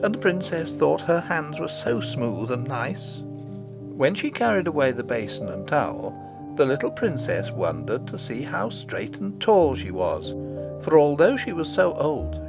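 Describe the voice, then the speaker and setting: A woman narrating an audiobook bedtime story in a scripted storytelling style. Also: low-pitched.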